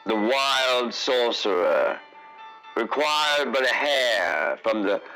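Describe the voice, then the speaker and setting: A man narrating a scripted fantasy tale as a radio drama voice actor, with a solemn, theatrical, deliberate delivery. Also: medium pitch, lively intonation, over music.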